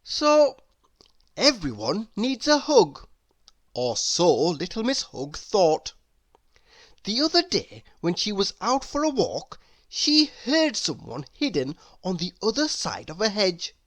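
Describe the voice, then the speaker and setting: A woman narrating a children's story in an expressive, emphatic read-aloud style. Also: medium pitch, takes it slowly, dry recording. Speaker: storybook reader.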